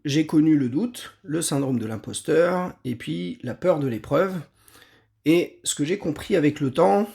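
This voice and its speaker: A man, giving a measured, earnest talk as an exam prep coach. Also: on the high side, gravelly, a little mellow. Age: 50s. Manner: measured, earnest, with occasional restarts. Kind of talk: scripted talk to camera.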